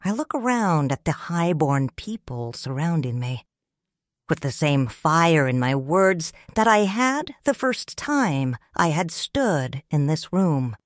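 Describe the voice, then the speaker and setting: Woman reading an audiobook, deep voice, lots of swing, very dry close mic. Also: very animated, slow, no echo. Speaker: narrator. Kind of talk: audiobook narration, first person.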